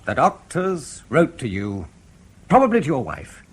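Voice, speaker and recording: An actor playing a polite, probing British intelligence interrogator in a radio drama, courteous, measured and insinuating, with a husky voice. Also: male voice, mid-range voice, huge pitch swings, heavily acted. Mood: pressing.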